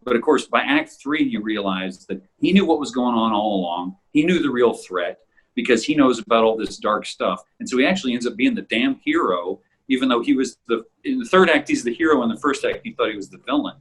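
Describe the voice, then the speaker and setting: A man with a slightly husky voice, chatting casually as a co-host of an audio drama show. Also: mid-range voice, animated, fairly quick, low-quality recording. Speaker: voice actor and podcast co-host. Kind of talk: casual behind-the-scenes chat.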